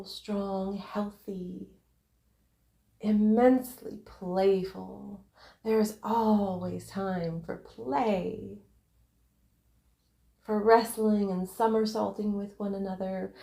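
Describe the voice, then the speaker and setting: Slow, mellow woman guiding a visualization. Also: mid-range voice, roomy sound. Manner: unhurried, short separated phrases, breathy emphasis. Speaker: guided visualization narrator. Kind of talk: guided visualization, meditative first-person narration.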